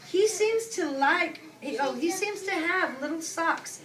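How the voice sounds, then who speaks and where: A woman preschool teacher reading aloud to young children, using simple instructive phrasing, repeating key words and pausing for questions and asides. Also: extremely high pitch, animated, a bit bright. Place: indoor classroom with young children. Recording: echoey room, distant mic, noisy background.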